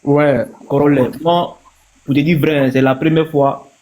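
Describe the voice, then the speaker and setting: A man's voice: on the high side, steady tone, a little slow, warm tone. A man from Ivory Coast with an Ivorian accent, giving his opinion casually as a panel guest on a live stream, repeating himself for emphasis.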